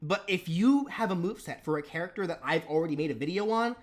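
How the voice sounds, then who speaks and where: A man's voice: sits high, animated, quick pace. Stuttering man hosting a live stream. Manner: stutters, repeats words, restarts often. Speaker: stream host and moveset creator. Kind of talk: casual live stream chat.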